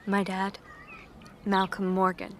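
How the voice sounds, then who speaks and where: A woman's voice: mid-range voice, monotone, takes it slowly, slight background noise, natural voice. An actress playing a troubled, searching daughter in a naturalistic radio drama, direct and wry in the way she talks. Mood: subdued, confiding.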